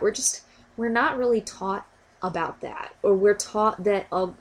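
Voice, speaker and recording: A woman hosting a podcast, talking casually and a little stuffy from a cold, often repeating words as she thinks. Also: mid-range voice. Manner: warm, informal, repeats words while thinking. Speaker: podcast host. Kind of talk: casual educational podcast talk.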